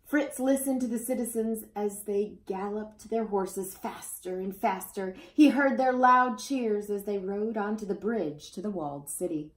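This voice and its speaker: A woman's voice: mid-range voice, unhurried, hardly any pauses. A woman reading a children's picture book aloud expressively, as storyteller to young listeners. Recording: roomy, echoey indoor sound.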